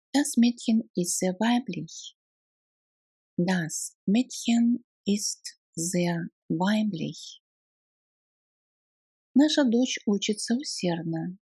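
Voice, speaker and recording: A woman reading short phrases for beginners, repeating each one slowly in careful chunks. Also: mid-range voice, dark tone, husky, no room sound. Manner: slow, careful phrase-by-phrase repetition. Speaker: language lesson reader.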